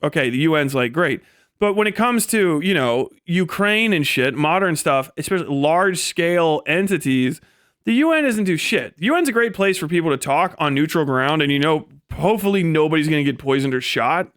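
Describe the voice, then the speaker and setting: A man livestreaming an opinionated, off-the-cuff rant, blunt, sweary and sardonic, acting out imagined exchanges in an animated way. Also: on the high side, fairly quick, dry recording, clean studio sound. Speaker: livestream host.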